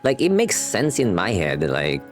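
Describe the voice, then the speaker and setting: A man's voice: on the low side, wildly swooping pitch, normal speed. A man doing casual, slangy game reaction commentary, rambling along and reading dialogue aloud. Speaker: game streamer reacting to a story quest. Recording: game music playing behind. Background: video game music and dialogue.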